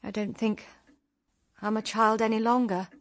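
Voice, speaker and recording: Earnest young British sister, high voice actress. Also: female voice, little pitch movement, normal speed, lightly acted. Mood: anguished, reluctant. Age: young adult. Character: earnest, troubled young unmarried sister, self-sacrificing and gentle. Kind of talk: radio drama acting.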